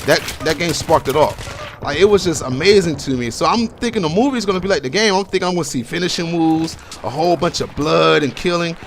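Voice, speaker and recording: A man's voice: a little high, fairly quick. An American man from Baltimore giving casual, rambling game commentary in an informal, slangy and opinionated way. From Baltimore, USA. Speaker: gaming commentator. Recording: home voice-over with clear background noise, gunfire. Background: video game gunfire and explosions.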